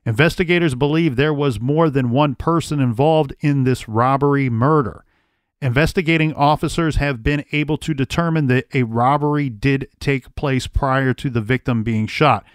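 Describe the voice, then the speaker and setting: A man's voice: mid-range voice, normal speed, dry recording, studio quality. A man hosting a true crime podcast, reading old case records aloud and then thinking through theories in casual talk. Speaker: podcast host.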